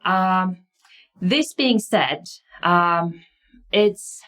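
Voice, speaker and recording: A woman with a Finnish accent gives a lecture in a low voice. She talks thoughtfully and often pauses on fillers and restarts her phrases as she searches for the words. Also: a bit bright. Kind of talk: explaining lecture.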